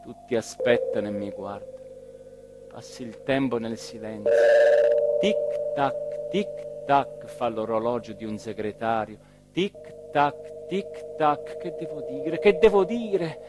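An actor playing an anxious, self-mocking southern Italian small-town mayor in a theatrical radio monologue, moving between muttering and loud declaiming. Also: male voice, medium pitch, slow, loud music behind the voice, lightly acted. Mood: tense, panicked. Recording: very noisy radio drama recording with ambience.

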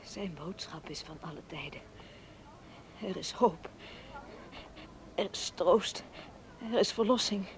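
An actress playing a gravely injured, devout older Salvation Army major in a radio drama, confused and in pain, speaking in a halting murmur. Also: female voice, lowish voice, a little hiss or hum. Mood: fervent, weak.

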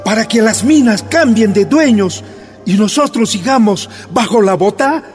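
A man's voice: sits high, animated, a little slow, over music. An actor playing a hot-tempered, threatening working-class Bolivian father in a radio drama. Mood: outraged.

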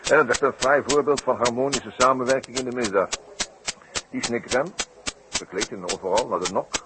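Wry, theatrical police detective male actor, deliberate and mocking, vintage radio drama, heavy noise and clicks. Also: medium pitch, lightly acted. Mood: calm, explaining. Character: sly, mocking male French police detective in circus mystery. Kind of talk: 1960s Dutch radio play acting. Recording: very noisy, clicky 1960s radio recording.

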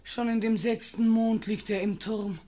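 A woman's voice: on the high side, little pitch movement, unhurried, mellow. An actress playing a poor, desperate peasant mother in a classic radio play, pleading and impassioned. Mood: defiant.

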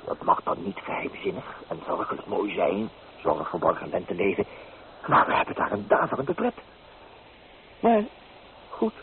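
A man's voice: sits low, wildly swooping pitch, normal speed, pauses often. A man playing a teasing, flamboyant young bohemian painter in a radio play, theatrical and playfully mocking. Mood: exuberant, charming. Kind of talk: Dutch radio play acting. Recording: tinny old radio recording with hiss.

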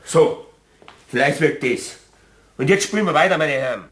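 An actor playing a blunt, grumbling Bavarian card player in a children's radio play, with an exclamatory way of talking and a Bavarian accent. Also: male voice, fairly high pitch, animated, moderate pace, fairly bright tone. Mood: satisfied.

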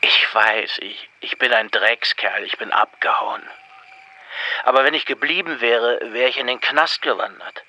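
Sharp-voiced, pleading male crook. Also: medium pitch, a little slow, poor audio. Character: pleading male crook on the run, roguish former lover. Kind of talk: radio drama dialogue.

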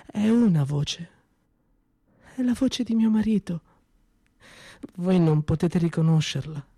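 A man's voice: sits high, lively intonation, slow, mellow, no room sound, clean studio sound, exaggerated voice. A man voicing a grieving older widow. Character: grieving widow, woman in her fifties, quietly broken. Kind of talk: theatrical monologue.

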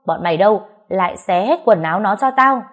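A woman's voice: mid-range voice, animated, a little fast, lightly acted. A woman narrator voicing a burly, thuggish female bully in a story, taunting and threatening with barked questions. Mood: enraged, threatening.

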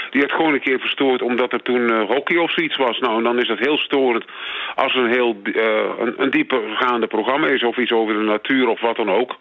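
A male Dutch caller speaks through a narrow, tinny phone connection at an average pitch. He sounds spontaneous and halting as he airs complaints on a radio phone-in. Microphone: telephone handset.

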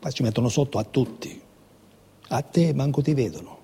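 A resigned, cynical friend in a stage drama. His voice is very dark and breathy with animated pitch, confiding and pleading for caution. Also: normal speed, exaggerated voice. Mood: cynical warning. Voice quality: husky, breathy. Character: resigned, cautious male friend urging compromise.

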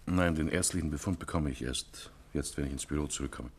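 A very deep-voiced formal policeman. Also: brisk, husky. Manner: formal, deferential, matter-of-fact. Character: formal male police inspector. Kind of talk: 1950s radio play acting.

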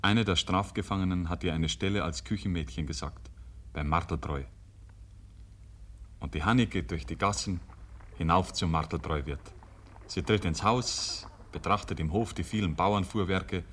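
Very deep-voiced male radio narrator. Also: a bit bright. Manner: measured, storytelling. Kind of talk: literary scripted narration, 1950s radio.

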